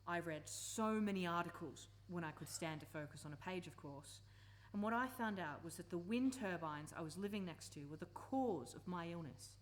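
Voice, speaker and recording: A woman's voice: fairly low pitch, lively intonation, moderate pace, hardly any pauses, close to an everyday voice, poor audio. A woman acting an earnest, persuasive campaigner and former country teacher in a live radio play. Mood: earnest, sorrowful.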